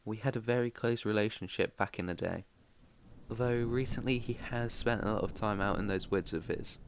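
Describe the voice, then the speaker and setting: A man's voice: on the low side, moderate pace, a little hiss or hum, natural voice. Man acting an ancient, protective British vampire master. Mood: confident, reassuring. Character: ancient, protective male vampire master, proud yet tender. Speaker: roleplay voice performer. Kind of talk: ASMR roleplay acting.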